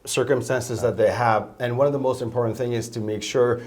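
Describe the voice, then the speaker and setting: A man hosting a studio interview show, opening formally and interjecting with frequent hesitations and quick affirmations. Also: medium pitch, steady tone, darkish tone. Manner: formal introductions, frequent "uh" and "excellent". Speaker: show host.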